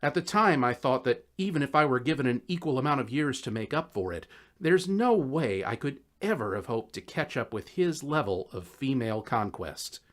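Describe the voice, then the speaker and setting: A man reading a scripted first-person story in a wry, conversational, expressive way. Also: medium pitch, moderate pace, hardly any pauses. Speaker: audiobook narrator.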